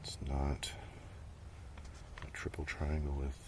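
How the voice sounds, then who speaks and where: A man giving a quiet, rambling ASMR show-and-tell, murmuring unhurriedly and drifting in and out of a whisper. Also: rumbling low, monotone, slow pace. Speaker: ASMR video host. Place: outdoors in a gentle breeze. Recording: clear outdoor ambient noise. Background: outdoor ambience, breeze.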